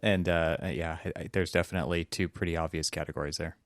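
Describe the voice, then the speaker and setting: A man's voice: sits low, fairly even intonation, fast talker, no echo, studio quality. A man hosting a podcast, asking curious, rambling questions and agreeing often. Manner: curious, asks long questions, many backchannels. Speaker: podcast host. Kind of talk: casual interview chat.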